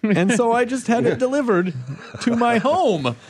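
A man's voice: very high voice, lively intonation, measured pace, a crowd in the background. A man hosting a casual chat with a dry, sarcastic wit.

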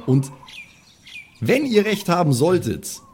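Man as a brash, animated young woman. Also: high voice, slow, creaky, slight background noise, full character voice. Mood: cocky. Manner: casual, swaggering, colloquial. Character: brash, cocky young woman, hard-drinking band member.

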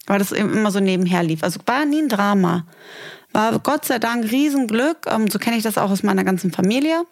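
Female podcast co-host, thirties, mid-pitched voice, candid and chatty, casual storyteller who turns aside to address listeners. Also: animated, brisk, gravelly. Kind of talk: frank, casual conversation.

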